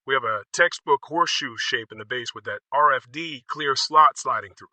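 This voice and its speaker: Man as a keen, composed storm spotter: very sharp, bright voice, dry sound, technical live reporting. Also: medium pitch, moderate pace, dry recording. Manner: technical, observational.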